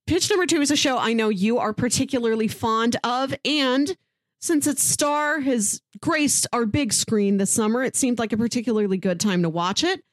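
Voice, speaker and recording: A woman's voice: on the high side, moderate pace, no room sound. A woman co-hosting a podcast in casual banter, chatty and wry, with a playful way of talking. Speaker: podcast co-host.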